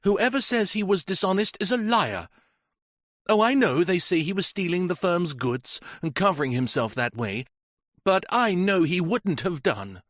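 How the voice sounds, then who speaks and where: A man's voice: sits high, very animated, moderate pace, a bit bright, no echo, clean studio sound, voice pushed up. A man voicing a distraught, grieving young woman who speaks in impassioned, tearful outbursts.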